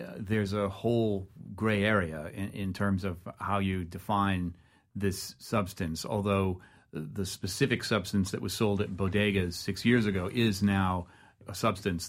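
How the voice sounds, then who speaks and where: A man hosting a radio news interview, moving between measured narration and questions to a guest. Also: sits low.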